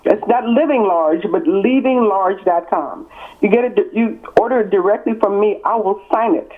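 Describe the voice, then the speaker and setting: A woman's voice: mid-range voice, moderate pace. An author in her sixties, raised in East Texas, answers interview questions over the phone. She talks emphatically and stacks her points in repeated, parallel phrases. The line sounds thin and phone-like. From born in California, raised in East Texas. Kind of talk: interview on a call.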